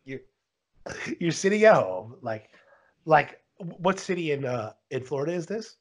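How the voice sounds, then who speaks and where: A man's voice: fairly high pitch, big pitch swings, measured pace. A man co-hosting a casual podcast, rambling with lots of "like" and "dude" as he spins a story. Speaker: podcast co-host.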